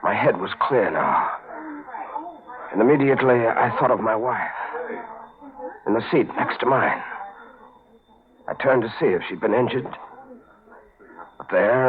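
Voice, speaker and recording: An actor playing a dying, tormented man, telling his story haltingly in a 1940s radio drama style. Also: fairly low pitch, a crowd in the background, lightly acted. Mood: tense recollection. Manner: halting, haunted narration. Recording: noisy, muffled 1940s radio broadcast.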